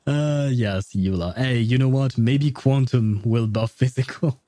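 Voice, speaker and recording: A man's voice: medium pitch, measured pace, mellow, no echo, clean studio sound. A man rambling casually on a game livestream, thinking aloud as he answers his viewers.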